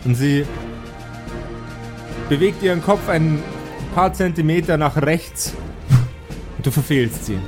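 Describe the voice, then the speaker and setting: A man narrating an improvised tabletop role-playing game as game master, in a deliberate, descriptive way. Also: a little high. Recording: background music under the voice.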